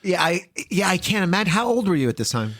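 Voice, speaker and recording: A man's voice: high-pitched, big pitch swings, quick pace, fairly bright tone, no echo, studio quality. A man around forty hosting a podcast, chatting casually with guests, laughing often and introducing the next segments. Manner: laughs often, enthusiastic reactions. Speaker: podcast host. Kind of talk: casual chat and show introductions.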